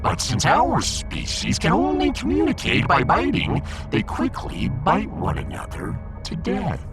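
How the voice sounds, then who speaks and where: A man's voice: on the high side, wildly swooping pitch, unhurried, vocal fry, music in the background, heavily acted. A man voicing a pompous, gloomy little alien fish emperor in a sci-fi audiodrama, theatrical, long-winded and imperious. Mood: mournful, theatrical. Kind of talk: sci-fi audiodrama character acting.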